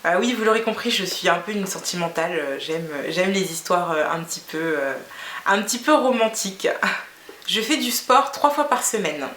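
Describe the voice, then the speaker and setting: A woman's voice: fairly low pitch, lively intonation, moderate pace, a bit bright, echoey room, a little hiss or hum. A woman in her thirties from Guinea whose first language is Fula, chatting casually to camera with many fillers and restarts. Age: 30s. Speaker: beauty vlogger.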